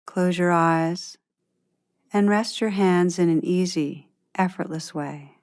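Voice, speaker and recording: A woman guiding a meditation in a gentle, unhurried, soothing manner, giving calm instructions with long pauses. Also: lowish voice. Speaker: meditation teacher guiding a practice. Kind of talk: guided meditation, scripted instruction.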